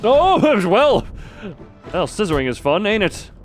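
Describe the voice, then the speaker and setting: A male streamer chats casually through his gameplay in a slightly high, very animated voice. He rambles playfully, bursting into exclamations and silly effects. Music plays softly behind him. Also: unhurried. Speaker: live streamer. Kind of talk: casual live gameplay commentary.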